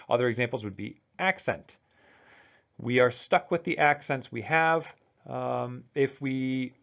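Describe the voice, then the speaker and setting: An American man lectures to students in a relaxed, conversational manner, pausing and restarting as he thinks aloud. His voice has a gravelly, creaky edge, and the recording sounds dull and lo-fi. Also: medium pitch. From United States. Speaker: college instructor.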